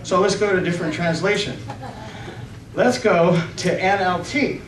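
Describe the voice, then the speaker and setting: Man, middle-aged preacher, high-pitched voice, halting nervous sermon, distant mic in a church hall. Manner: halting, nervous delivery with frequent self-corrections and amens. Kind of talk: sermon with scripture reading. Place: church hall stage, indoors. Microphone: stand microphone, picked up by far camera. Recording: roomy, distant microphone with faint noise.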